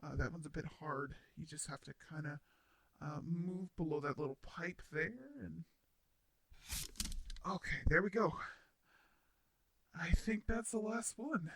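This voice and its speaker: Shy robot roommate, male actor: very bright, slow-paced voice, stammering and kindly, for intimate roleplay audio. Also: fairly high pitch, exaggerated voice. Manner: stammering, hesitant, nervous laughs, sighs. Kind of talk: intimate roleplay audio drama addressed to the listener.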